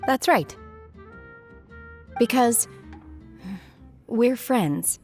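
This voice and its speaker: A woman voicing an aloof young woman who lives secluded in the mountains, calm, detached and literal-minded, in a game cutscene. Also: a little high, extremely slow. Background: soft background game music.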